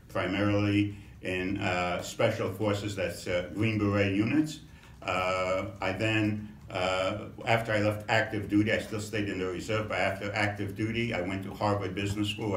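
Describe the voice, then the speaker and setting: Middle-aged guy from romania giving a campaign intro, slow and halting, lots of ums, deep voice, kinda roomy and far off. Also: slight background noise. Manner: measured, halting, many fillers. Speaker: congressional candidate. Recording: echoey room, mic at a distance.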